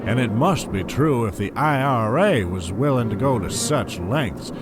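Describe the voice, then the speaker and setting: A man's voice: medium pitch, animated, measured pace, close to an everyday voice. An actor playing an earnest, insistent man in an audio drama, dramatic in his delivery. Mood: urgent, earnest. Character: earnest, insistent man sharing a grave secret. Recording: loud music behind the voice.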